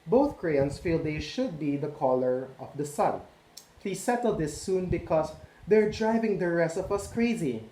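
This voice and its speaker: A man's voice: fairly high pitch, expressive, unhurried, mellow. A man voicing a content, polite green crayon reading its letter. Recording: echoey room, faint noise.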